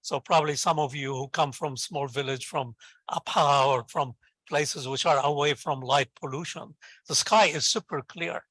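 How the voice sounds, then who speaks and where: Bright-voiced older Lebanese man. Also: a little high, fairly even intonation, moderate pace, breathy edge. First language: Arabic. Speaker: invited guest, professor and scientist. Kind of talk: conversational interview answer, storytelling.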